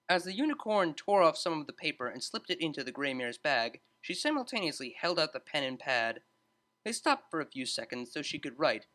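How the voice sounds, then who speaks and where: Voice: medium pitch, pushed and bright. A narrator reading a scripted story as an audiobook.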